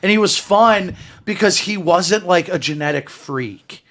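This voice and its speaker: A man's voice: sits high, expressive, a little slow, a bit bright, a little hiss or hum. A man chatting casually on a podcast, emphatic and repeating himself to drive points home. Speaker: podcast speaker. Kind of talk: casual chat.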